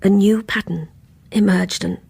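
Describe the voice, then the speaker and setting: An actress playing a guarded, troubled middle-aged English woman, telling her story haltingly and reflectively in a radio drama. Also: medium pitch, fairly even intonation, creaky, darkish tone, slightly rough, dry recording. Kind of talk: first-person radio drama narration.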